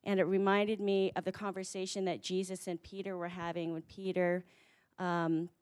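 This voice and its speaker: Woman host, lower voice, heartfelt introduction, many fillers. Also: level tone, moderate pace, darkish tone, clean tone. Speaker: event host introducing the speaker. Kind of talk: warm spoken introduction.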